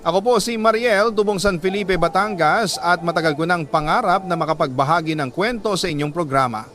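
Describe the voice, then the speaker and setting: A man with a Filipino accent reading a scripted dramatic story in an earnest, sentimental, measured way. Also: high-pitched, fairly bright tone. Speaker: radio drama narrator reading a listener's letter. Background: background music.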